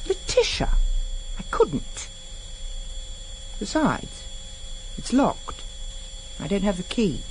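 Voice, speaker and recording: A woman's voice: mid-range voice, wildly swooping pitch, slow pace, long pauses. An actress playing a prim, cautious, secretive woman in a British radio drama. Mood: flustered, scolding. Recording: noisy vintage radio recording.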